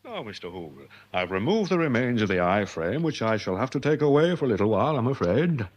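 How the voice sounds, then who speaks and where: An actor playing a polite, dry-witted English police sergeant with a deferential, pedantic manner, in a British radio drama. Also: male voice, mid-range voice, big pitch swings, fast talker. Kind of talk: British radio comedy-mystery drama acting.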